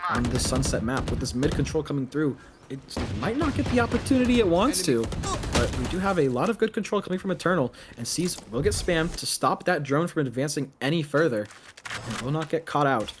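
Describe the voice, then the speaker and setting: Higher-voiced man commentating esports. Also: expressive, fairly quick, noisy background. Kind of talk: live esports play-by-play and analysis commentary.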